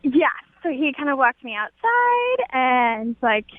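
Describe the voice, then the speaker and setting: A young woman phones a radio show in a high-pitched voice. She chats casually, with a giggly, gushing manner. The line is narrow and tinny, with very little room sound around her. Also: big pitch swings, slow, dry recording. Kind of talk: casual chat. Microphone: telephone.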